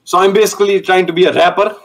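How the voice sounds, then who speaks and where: Give me a high-pitched young man talking loud and cocky over a headset on a video call. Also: fairly even intonation, rapid-fire. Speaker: online date contestant. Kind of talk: casual video call banter. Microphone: gaming headset on video call.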